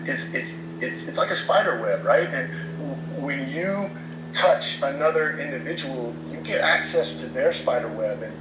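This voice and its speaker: A man's voice: mid-range voice, expressive, normal speed, echoey room, noisy background. A man speaking as a podcast guest in casual chat, earnest and motivational, often restarting words as he goes.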